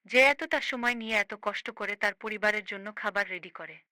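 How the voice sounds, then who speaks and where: A woman's voice: mid-range voice, moderate pace, sharp tone, dry recording, close to an everyday voice. A woman playing a firm, caring older sister in a cartoon, lecturing her grown brother in an emphatic, preachy way. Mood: reproving. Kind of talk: amateur cartoon voice acting.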